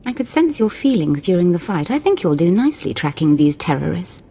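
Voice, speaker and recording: A cruel young Sith noblewoman taunts in a theatrical sing-song for a game cutscene. Her voice is rather low and breathy, with a long reverb hanging behind it. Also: sing-song, fairly quick, mellow, exaggerated voice. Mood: calm. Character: playful cruel young female Sith lord.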